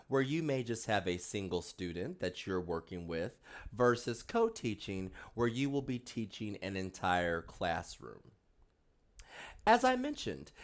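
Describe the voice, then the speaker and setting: Orientation presenter, animated intonation, patient explanations, frequent um fillers, stresses reminders. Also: mid-range voice. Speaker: volunteer program orientation presenter.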